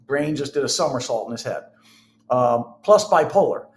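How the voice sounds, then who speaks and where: A man's voice: mid-range voice, animated, moderate pace. A middle-aged man telling personal stories candidly and conversationally as an interview guest.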